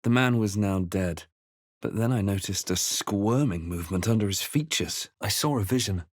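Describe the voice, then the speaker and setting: Brooding male game hero, played by a man, grave storyteller delivery, lowish pitch, close dry sound. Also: moderate pace, no echo, close to an everyday voice. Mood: grave, dramatic. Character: male adventurer hero, composed, brooding, recounting his journey. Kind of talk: video game voice lines, dramatic first-person narration.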